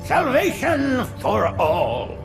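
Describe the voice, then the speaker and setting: Sanctimonious elderly male alien cleric for a game, high-pitched and animated, preachy, music behind. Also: extremely slow, gravelly, heavily acted. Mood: reverent, grandiose. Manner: florid, preachy, grandiose. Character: sanctimonious old alien prophet, male, florid and solemn. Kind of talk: cinematic game voice acting.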